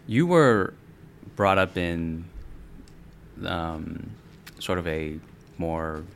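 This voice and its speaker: Male podcast host, very low voice, easygoing questions, faint hiss behind. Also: expressive, extremely slow, creaky. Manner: affirming, many yeahs and fillers. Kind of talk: casual interview questions.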